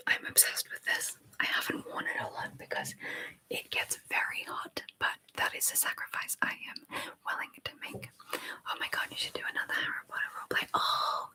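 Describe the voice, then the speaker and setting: A woman hosting a whispered ASMR live chat, reading viewer comments aloud and softly repeating phrases over and over. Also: poor audio. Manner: reads viewer comments aloud and answers them. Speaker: ASMR livestream host.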